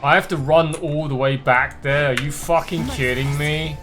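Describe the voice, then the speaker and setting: A man's voice: on the high side, steady tone, moderate pace. A man giving casual, crude, sweary commentary as a live game streamer. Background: faint game music.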